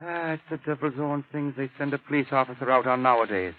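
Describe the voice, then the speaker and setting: An actor playing a folksy, grumbling policeman sidekick with colorful, animated talk, in a 1930s radio drama on a thin old recording. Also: medium pitch, fast, phone-quality sound, natural voice. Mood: grumbling, insistent. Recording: thin vintage radio broadcast.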